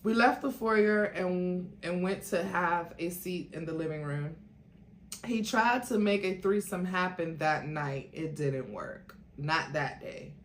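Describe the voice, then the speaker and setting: A woman host reading a book aloud as theatrical narration, with expressive asides. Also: lowish voice, slow pace. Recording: echoey room, faint background noise.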